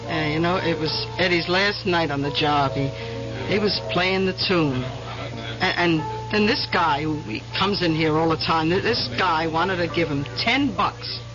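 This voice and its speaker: An actress puts on a folksy, gossipy American waitress for an old radio drama. She rambles in loose everyday speech with little hesitations. Music plays clearly behind her, and the recording is dull. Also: lowish voice, unhurried, lightly acted. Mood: confiding. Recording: muffled radio tape under clear music.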